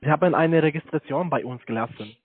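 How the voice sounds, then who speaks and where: Man, slightly high voice, foreign accent, non-native, hesitant telesales pitch, dry tinny phone sound. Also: no echo. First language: not German. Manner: halting, many hesitations. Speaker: investment telesales agent.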